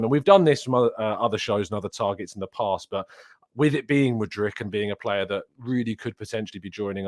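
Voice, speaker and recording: A man's voice: on the low side, dry recording, studio quality. A man hosting a football show, speaking in a chatty, conversational presenting style to his viewers and guest.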